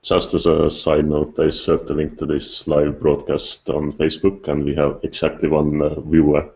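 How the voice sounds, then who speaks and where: A man's voice: extremely low pitch, completely flat delivery, moderate pace, creaky, a little mellow, lo-fi sound. A man hosting a casual podcast in a dry, understated way. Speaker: podcast host.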